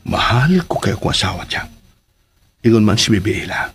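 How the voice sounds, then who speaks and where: A man's voice: on the low side, big pitch swings, fairly quick, fairly bright tone. A man playing a devoted, tender young husband in a radio drama, with a Cebuano Filipino accent. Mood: earnest, devoted. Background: music.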